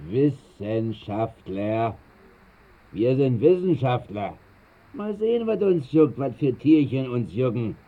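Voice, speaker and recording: Slow, boozy pub regular. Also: male voice, mid-range voice, sing-song. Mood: tipsy, teasing. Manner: slangy, teasing, drawling. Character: boozy, jovial working-class male Berlin pub regular. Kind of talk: 1960s radio drama acting. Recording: dull 1960s radio recording.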